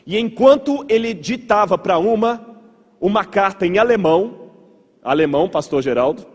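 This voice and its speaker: Male Brazilian preacher-lecturer, higher-pitched and slightly husky, conversational storyteller addressing a congregation in a reverberant hall. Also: animated, moderate pace. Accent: Brazilian Portuguese. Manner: conversational, asks the audience rhetorical checks, repeats words for emphasis. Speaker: pastor giving a church history lecture. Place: large hall with audience.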